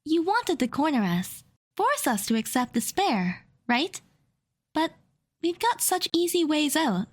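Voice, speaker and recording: Defiant young woman, played by an actress, high lively voice, confident and methodical, audio drama courtroom challenger. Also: measured pace. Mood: defiant, reasoning. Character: defiant, sharp-minded young woman arguing in a trial.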